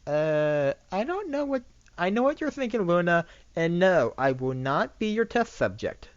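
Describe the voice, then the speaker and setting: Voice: medium pitch, big pitch swings, unhurried, no room sound, highs cut off. A narrator voicing a wary, questioning older-sister pony princess in an amateur story reading, in a hesitant manner.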